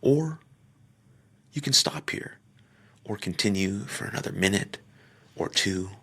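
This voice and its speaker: A man leading a guided meditation, speaking gently in short phrases with pauses and sometimes trailing off into a near-whisper. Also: fairly low pitch, animated, creaky, husky. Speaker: podcaster. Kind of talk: guided meditation, soft slow instruction.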